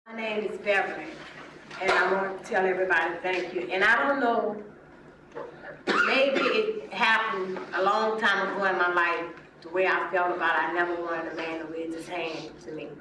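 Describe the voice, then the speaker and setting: A woman's voice: lowish voice, fairly even intonation, moderate pace. Woman in a very echoey, noisy room. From New Orleans. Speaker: participant sharing with a group. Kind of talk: personal storytelling to a group. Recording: very echoey room, distant, clear noise.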